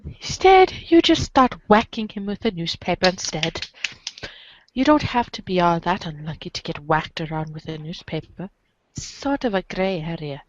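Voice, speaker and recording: A woman's voice: fairly low pitch, lively intonation, normal speed, highs cut off. A woman reading a comic story aloud on a group podcast. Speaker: podcast reader. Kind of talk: dramatic reading of a webcomic.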